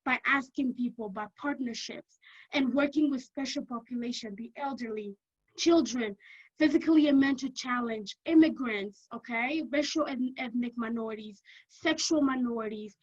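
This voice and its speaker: A woman with a Kenyan accent giving an energetic informational talk to prospective students, often checking in with "okay?". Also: fairly high pitch, moderate pace, slightly rough, muffled recording. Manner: energetic, keeps checking in with "okay?", repeats for emphasis. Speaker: university lecturer.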